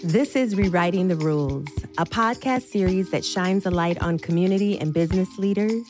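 A woman's voice: lowish voice, normal speed. A woman with an American accent, reading scripted trailer narration as a podcast host. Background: music.

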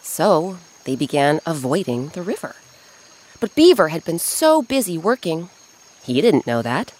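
Animated, low-voiced woman storyteller. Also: unhurried. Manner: animated, audience-addressing storyteller. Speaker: storyteller and host. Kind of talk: scripted narration for children's folktale podcast.

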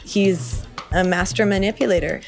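A woman's voice: medium pitch, moderate pace, vocal fry. A woman interviewee giving her opinion in a documentary clip. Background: music.

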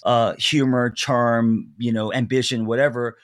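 Man, earnest, halting restarts, dry close mic. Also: mid-range voice, flat delivery, dry recording. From came to the US at three. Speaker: podcast host. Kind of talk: casual interview chat.

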